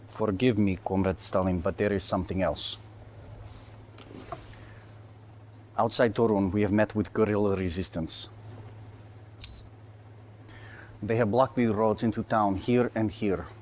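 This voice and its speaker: An actor playing a grim, callous Soviet military commander, formal and clipped, in a video game cutscene. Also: male voice, on the low side, brisk, hardly any pauses, darkish tone, slight background noise, natural voice. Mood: formal, wary.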